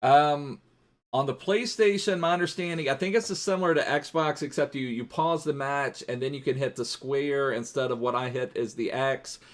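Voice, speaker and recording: A man's voice: mid-range voice, normal speed. Chatty male game streamer. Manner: chatty, rambling, greets and thanks viewers. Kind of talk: casual live-stream gaming chat.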